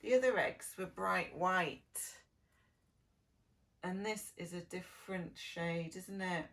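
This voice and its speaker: A woman teacher telling a story to preschool children in a sing-song, exaggerated way, gently asking them questions. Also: fairly low pitch, steady tone, takes it slowly, slight background noise. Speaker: preschool early years teacher reading a story.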